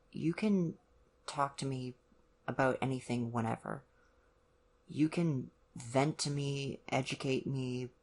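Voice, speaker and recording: A performer playing an awkward, caring young college boyfriend in an ASMR roleplay, speaking hesitantly and gently to the listener. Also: medium pitch. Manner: hesitant, gentle, awkward, many ums and pauses. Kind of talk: ASMR roleplay, one-sided conversation with the listener.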